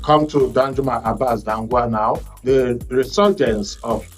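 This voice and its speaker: A man's voice: medium pitch, unhurried. A Nigerian man hosts a live current affairs programme, addressing his guests in a mid-range voice. Faint music plays behind him as he speaks. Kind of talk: live broadcast hosting, questioning guests.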